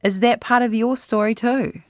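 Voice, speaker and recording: A woman interviewing a guest, asking measured, prepared questions. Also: on the high side, steady tone, no echo, clean studio sound. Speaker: radio interviewer.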